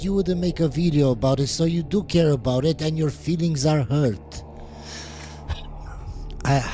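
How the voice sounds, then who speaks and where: A man's voice: a little high, warm tone. A man streaming and reacting to videos, giving blunt, scornful commentary in a casual way.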